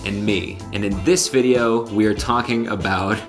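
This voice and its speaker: A man with a deep voice, vlogging casually to the camera and explaining gear with frequent restarts. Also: fairly even intonation, moderate pace, soft background music. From Connecticut, USA. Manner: chatty, explanatory, with filler restarts. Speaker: vlogger and magician. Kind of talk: casual vlog talk to camera. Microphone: camera-mounted shotgun mic.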